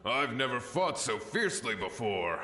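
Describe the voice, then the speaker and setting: A man's voice: medium pitch, big pitch swings, moderate pace, music in the background, natural voice. A man voicing a loyal soldier who speaks earnestly and reassuringly. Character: loyal, devoted soldier of the ruler. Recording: echoey game audio.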